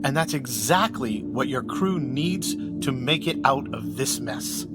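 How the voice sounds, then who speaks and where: A man's voice: medium pitch, expressive, measured pace, natural voice. A man playing an earnest, weighty mentor who urges a young woman on in an audio drama, with emphatic delivery. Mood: grave, urging. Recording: loud music under the voice.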